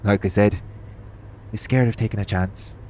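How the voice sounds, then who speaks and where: Low-voiced, cocky hitman played by a man. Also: fairly even intonation, moderate pace, mellow, slight background noise, natural voice, low-quality recording. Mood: teasing. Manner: cocky, swearing, teasing. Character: cocky, teasing, foul-mouthed male hitman who loves betting. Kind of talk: audio roleplay acting addressed to the listener.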